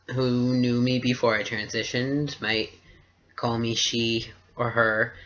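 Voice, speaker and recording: Slow, flat-voiced young man, bright tone. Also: mid-range voice. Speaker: vlogger. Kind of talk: personal video diary. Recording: dull lo-fi recording.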